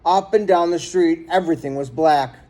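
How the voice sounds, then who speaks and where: A man reading a children's story aloud in an expressive, dramatic storytelling manner. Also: a little high, moderate pace. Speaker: storybook reader.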